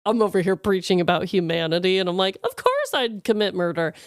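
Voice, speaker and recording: Woman podcaster chatting casually, really expressive, clean dry sound, emphatic n slangy, bit sweary. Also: mid-range voice, a little fast, no room sound. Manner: candid, emphatic, profane, talking in slangy asides. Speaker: podcast co-host.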